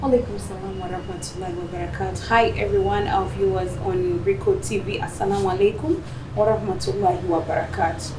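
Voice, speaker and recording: A woman's voice: medium pitch, fairly even intonation, fast talker. Noisy shop ambience, off-mic sound, woman, East African accent, interview guest, conversational answers. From Rwanda and Uganda. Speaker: interview guest, beauty business owner. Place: cosmetics shop, indoors. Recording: echoey room, distant mic, noisy ambience.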